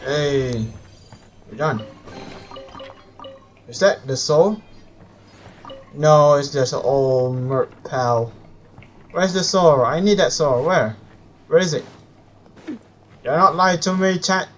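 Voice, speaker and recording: A man's voice: a little high, slow pace, pauses often, highs cut off, slight background noise. Casual, playful male game streamer. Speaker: live streamer. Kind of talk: casual gaming commentary.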